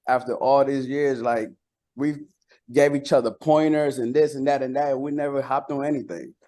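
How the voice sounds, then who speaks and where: A man's voice: mid-range voice, fairly even intonation, moderate pace, warm tone. Slangy man chatting about himself casually. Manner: slangy, repeats phrases as he tells anecdotes. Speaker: rapper being interviewed. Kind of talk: casual interview talk.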